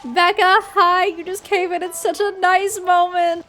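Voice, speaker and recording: Woman streamer, gushing reactions, slow drawn-out speech, fairly high voice. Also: flat delivery, sharp tone, soft background music. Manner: gushing, emotional asides. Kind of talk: casual gameplay reactions.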